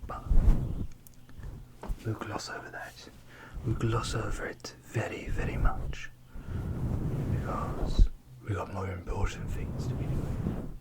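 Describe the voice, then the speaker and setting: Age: adult. Sex: man. Style: ASMR whisper. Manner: soft, rambling, playful self-mocking asides. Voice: low-pitched. Mic: close, with a fluffy windshield. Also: steady tone, takes it slowly, pauses often, rough recording. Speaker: ASMR creator. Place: filming himself on a phone. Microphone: close microphone with fluffy windshield.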